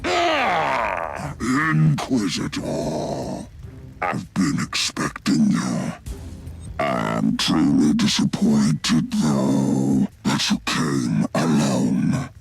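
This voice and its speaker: An actor playing a sinister mad scientist villain in a video game, pompous and mocking, with a gravelly voice and drawn-out theatrical delivery. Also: male voice, extremely low pitch, big pitch swings, soft background music, heavily acted. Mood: mock courtesy.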